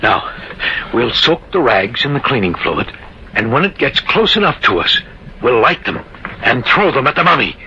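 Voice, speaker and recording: Grave, dramatic old-radio horror believer. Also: male voice, mid-range voice, sing-song, moderate pace, gravelly, bright, forceful tone, exaggerated voice. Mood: urgent, commanding. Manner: earnest, ominous, dramatic delivery. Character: grave, insistent man who believes in an ancient curse. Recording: dull, hissy old radio recording.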